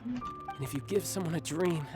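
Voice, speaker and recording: An actor playing a proud young warrior and devoted big brother, speaking in a theatrical, earnest way for a video game. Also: male voice, a little high, normal speed, lightly acted. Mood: earnest, reflective. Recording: game music behind the voice.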